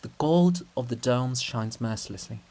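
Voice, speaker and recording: A man narrating a play as a dramatic reading, deliberate and sometimes hushed, with occasional stumbles over words. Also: medium pitch, animated. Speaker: narrator and reader. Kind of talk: dramatic reading of stage directions.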